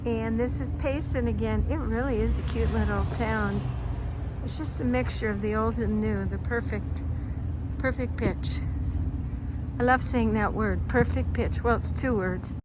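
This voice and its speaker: A woman's voice: a little high, steady tone, unhurried, noisy background. A woman hosting a travel vlog, chatting enthusiastically with people she meets outdoors. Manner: enthusiastic and exclamatory. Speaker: travel vlogger and host. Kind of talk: casual interview. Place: outdoors by her van. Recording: background music under outdoor talk.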